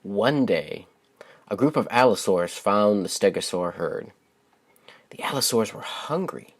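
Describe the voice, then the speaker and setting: Low-pitched man, young adult. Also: expressive, measured pace. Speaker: channel host and children's book author. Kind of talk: casual spoken introduction to viewers.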